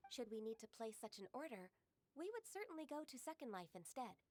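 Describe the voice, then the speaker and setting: An actress voicing a calm, composed young secretary in a video game, speaking gently, politely and with assurance. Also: female voice, a little high, fairly quick.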